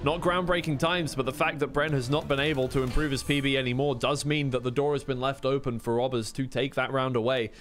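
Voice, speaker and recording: A man's voice: medium pitch, steady tone, a little fast, hardly any pauses, fairly bright tone, soft background music. A man doing live esports play-by-play commentary in a conversational way, thinking aloud as he goes.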